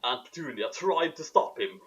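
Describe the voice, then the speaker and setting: A man's voice: a little high, expressive, moderate pace, breathy edge, lo-fi sound. A man doing a mocking dramatic reading with sarcastic, casual commentary and a theatrical manner. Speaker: reader and commentator.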